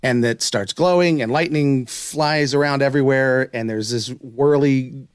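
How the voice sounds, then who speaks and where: A man's voice: medium pitch, a little slow, clear, resonant tone, no echo, clean studio sound. Enthusiastic man hosting a casual podcast. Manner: enthusiastic, rambling. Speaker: podcast host.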